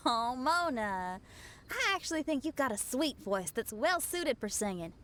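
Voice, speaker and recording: A woman's voice: sits high, big pitch swings, unhurried, fairly bright tone, slight background noise, exaggerated voice. A woman playing a friendly, easygoing young musician who is encouraging, in a video game.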